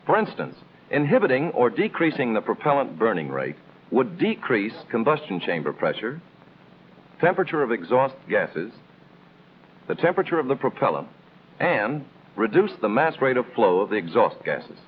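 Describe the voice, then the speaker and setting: An American man reading scripted instructional narration in the style of a 1950s military training film, explaining in a measured, didactic way with clear emphasis. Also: medium pitch, big pitch swings. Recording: tinny band-limited old film soundtrack, noisy.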